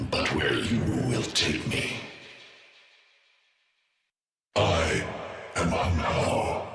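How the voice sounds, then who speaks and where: An actor playing an ancient, vengeful alien destroyer of worlds in a video game, a betrayed father who speaks in slow, solemn, menacing and grandiose pronouncements. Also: fairly low pitch, vocal fry, fairly bright tone, full character voice. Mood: grim, resolute. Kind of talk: dramatic video game villain monologue. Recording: long cavernous reverb.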